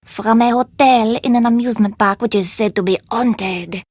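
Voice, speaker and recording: An actress playing a proud, stubborn street vendor in a video game, haggling emphatically in formal phrasing. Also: female voice, on the high side, normal speed, a little mellow, dry recording, close to an everyday voice. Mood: proud, insistent. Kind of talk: video game character dialogue.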